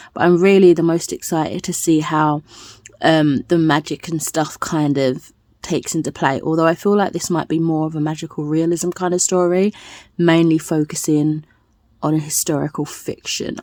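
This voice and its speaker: A woman's voice: low voice, a little mellow, no echo. A woman talking casually to her viewers as a book vlogger, in a playful, rambling, self-deprecating way. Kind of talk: casual chatty vlog to viewers.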